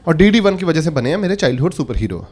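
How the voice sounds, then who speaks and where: A man in his thirties telling animated stand-up stories on a club stage, holding a handheld mic and acting out quotes in different voices. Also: a little high, fast talker, noisy background. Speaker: stand-up comedian. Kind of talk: stand-up comedy storytelling. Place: comedy club stage before a live audience. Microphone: handheld stage microphone. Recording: live club audience laughter.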